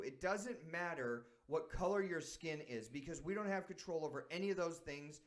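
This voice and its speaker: Earnest man on a sports show. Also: high voice. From San Diego, United States. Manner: earnest, thinking aloud with restarts. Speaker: sports talk show host. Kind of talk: reflective casual talk.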